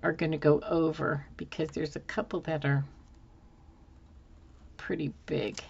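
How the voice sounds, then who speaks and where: A woman hosting a crafting video at her desk, musing aloud to herself as she works, her voice a little hoarse. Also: sits low, level tone, a little mellow, highs cut off, a little hiss or hum. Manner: unhurried, musing to herself with fillers. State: slightly hoarse, losing her voice. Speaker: planner decorating channel host. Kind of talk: casual thinking-aloud crafting narration. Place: indoors at a desk. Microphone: overhead camera, hands only.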